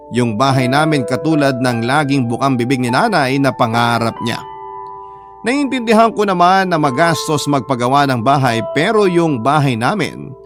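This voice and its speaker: An actor with a Filipino accent playing a devoted elder sibling, narrating a sad life story in an earnest, confiding way. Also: male voice, fairly high pitch, lightly acted. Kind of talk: first-person dramatic radio narration.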